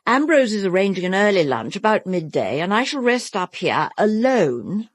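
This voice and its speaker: A woman's voice: mid-range voice, sing-song, moderate pace, no echo, studio quality. An actress playing a grand, commanding British married woman of the theatre in an audio drama. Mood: brisk, assured. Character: imperious, theatrical married actress who fears for her safety.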